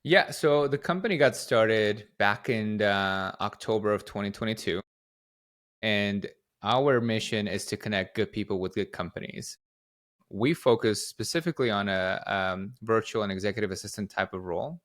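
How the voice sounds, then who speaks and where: A young company head from Guatemala speaks a touch low in pitch. He answers at length in an easy, rambling way, and he keeps restarting his sentences and padding them with "like" and "uh.". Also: moderate pace, fairly bright tone. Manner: rambling, many fillers and restarts. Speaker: company CEO, podcast guest. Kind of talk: casual interview answers.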